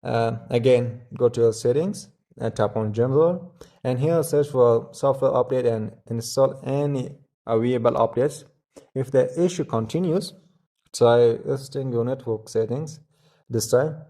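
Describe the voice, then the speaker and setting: A man with a soft-edged, mellow voice at a medium pitch walks through instructions as a tutorial host. His delivery is step by step and a little halting, with frequent small hesitations. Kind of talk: step-by-step tech tutorial narration.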